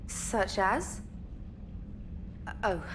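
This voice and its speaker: An actress playing a young naval specialist in a video game, bookish and witty, with an articulate, wry and teasing way of talking. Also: female voice, mid-range voice, very animated, very slow. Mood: amused, then flustered. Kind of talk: dramatic video game acting. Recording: background noise and ambience.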